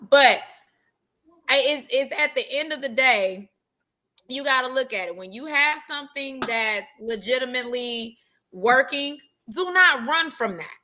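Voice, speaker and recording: A woman's voice: on the high side, expressive, unhurried, pushed and bright. A woman co-hosting a sports talk show, making her points with emphatic repetition in casual chat. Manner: emphatic, repeats points for stress.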